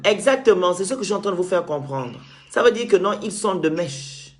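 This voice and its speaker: A man's voice: sits high, expressive, fairly quick. An insistent man hosts a call-in debate. He presses his points hard and trips over repeated words as he pushes ahead. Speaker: show host.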